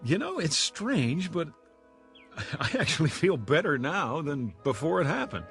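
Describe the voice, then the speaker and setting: A man's voice: a little high, big pitch swings, a little slow, fairly bright tone, full character voice. An actor playing an anxious salesman in his thirties recovering from a breakdown, hesitant and stammering, in a radio drama. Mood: relieved, upbeat. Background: background music.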